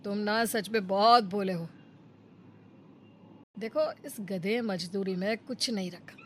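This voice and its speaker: A woman's voice: mid-range voice, slow, a little hiss or hum, natural voice. A woman voicing a cunning, lazy, greedy village man in a Hindi moral-story cartoon, coaxing and wheedling. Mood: sly.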